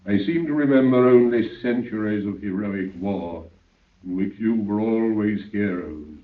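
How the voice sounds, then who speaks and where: A man's voice: lowish voice, unhurried. An actor playing a vast godlike man, solemn and serene, with grave, stately delivery and a cavernous echo in 1930s radio drama style. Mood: solemn, grand. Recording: echoing, muffled, tinny 1930s radio recording.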